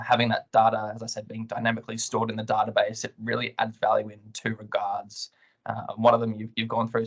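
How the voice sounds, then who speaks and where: Male corporate guest for webinar interview: low register, little pitch movement, measured and explanatory. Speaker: client guest, compliance lead.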